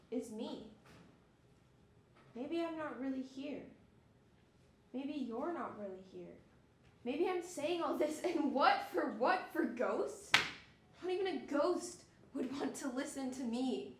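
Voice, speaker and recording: A woman's voice: sits high, expressive, takes it slowly, long pauses. A woman playing a lonely, despairing harlequin in an amateur stage monologue, theatrical and musing. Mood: desperate. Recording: echoey stage with faint noise.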